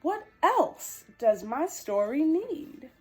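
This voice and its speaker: Woman as an eager, high-voiced storybook boy. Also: sing-song, slow pace, faint music behind, full character voice. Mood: pondering.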